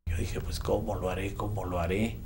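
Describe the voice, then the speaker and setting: A man's voice: low voice, level tone, brisk, darkish tone. A man with a Mexican accent, a radio sound effects artist telling anecdotes casually and colloquially in an interview. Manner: colloquial, acts out remembered dialogue. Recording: clear background noise. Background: background noise.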